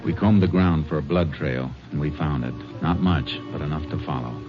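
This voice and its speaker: An actor playing a steady, determined Texas Ranger in a 1950s radio drama, terse and matter-of-fact, with an American accent. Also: male voice, very deep voice, normal speed. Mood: grim narration. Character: steady, determined male Texas Ranger, narrating his case. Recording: muffled old radio recording, loud music.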